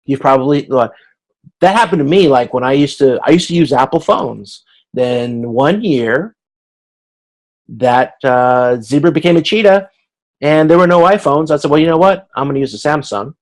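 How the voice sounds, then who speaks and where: A man giving an informal explanatory lecture as an instructor on a video conference, haltingly thinking aloud. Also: fairly high pitch.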